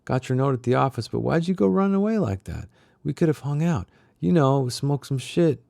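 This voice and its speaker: A man plays a laid-back stoner private eye from a novel. His voice is mellow and dry, curious and casual, with slangy questioning. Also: medium pitch, lively intonation, fairly quick, gravelly, no echo, voice pushed up. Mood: friendly, puzzled.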